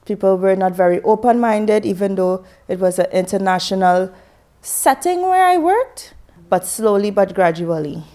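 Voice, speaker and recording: A woman's voice: mid-range voice, lively intonation, moderate pace, dark tone. Candid woman from Trinidad. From Trinidad and Tobago. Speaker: interviewee. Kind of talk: casual interview answers.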